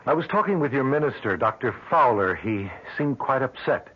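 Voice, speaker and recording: An actor playing a concerned, sceptical doctor in a 1970s radio drama, with a probing conversational manner. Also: male voice, mid-range voice, lively intonation, moderate pace, a little hiss or hum, lightly acted. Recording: dull lo-fi old radio broadcast.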